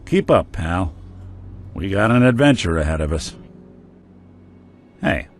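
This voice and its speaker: An actor playing a world-weary male synth detective in a game, talking with a gruff, laconic noir drawl. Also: low voice, big pitch swings, measured pace, faint music behind. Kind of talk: game companion dialogue.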